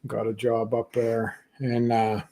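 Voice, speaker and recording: A man's voice: mid-range voice, monotone, takes it slowly. A man from Pennsylvania hosting a casual livestream chat, talking easily with lots of "you know" and laughter. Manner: chatty, warm laughs, many "you know" fillers. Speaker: podcast host.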